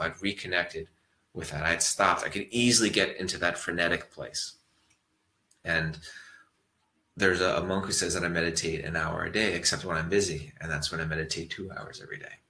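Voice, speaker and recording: A man with a low voice and a bright, forceful edge talks through ideas about mindfulness in a relaxed, meandering way. He often circles back and restarts his sentences. His voice rings in an echoey room. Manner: relaxed, rambling, thoughtful with frequent restarts. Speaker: acupuncturist giving a mindfulness talk. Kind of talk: reflective talk to an audience.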